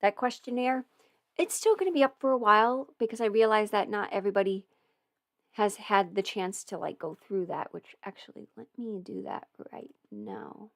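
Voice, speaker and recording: A woman's voice: mid-range voice, moderate pace, no echo, studio quality. A young woman hosting a casual livestream Q&A as an audio performer, chatty and meandering, with plenty of fillers and restarts. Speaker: audio performer and channel host.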